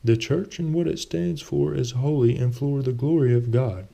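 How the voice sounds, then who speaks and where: A man's voice: mid-range voice, moderate pace, gravelly, warm tone, pitched above the performer's own voice. A man voicing a pious, wealthy older man in a storybook reading, earnest and persuasive.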